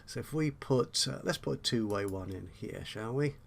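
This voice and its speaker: A man's voice: medium pitch, expressive, moderate pace, vocal fry. A man giving an unscripted tutorial commentary on a video game, explaining step by step with hesitant asides and self-corrections. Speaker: tutorial host.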